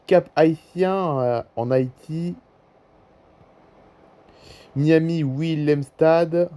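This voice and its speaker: A man's voice: medium pitch, warm tone. A man with a French accent giving casual, off-the-cuff commentary as a YouTuber, often repeating himself and prompting viewers to look. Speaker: YouTuber presenting a flight-tracking app.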